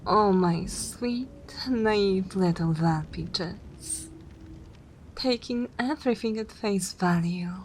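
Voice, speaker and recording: A woman's voice: lowish voice, slow, a little mellow, slight background noise. A woman voicing a composed, teasing and menacing vampire mistress in an ASMR roleplay, with a formal, condescending drawl. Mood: condescending, probing.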